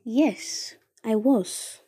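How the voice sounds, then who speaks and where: A woman acting a sharp-tongued young woman in love in an audio play, with a Ghanaian accent. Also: a little high, drawn-out words, husky, dry recording, clean studio sound, full character voice. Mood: irritated. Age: young adult. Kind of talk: dramatic dialogue in an audio play.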